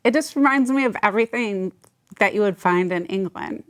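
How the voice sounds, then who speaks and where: A woman's voice: medium pitch, moderate pace. Enthusiastic woman hosting an interview. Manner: enthusiastic, gushing, warm praise. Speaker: interview host. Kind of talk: casual interview.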